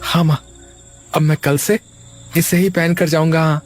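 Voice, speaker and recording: A man doing a cheerful young laborer from a cartoon story, high-pitched, eager, reassuring, faint music behind. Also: little pitch movement, normal speed, natural voice. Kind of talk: Hindi cartoon story dubbing.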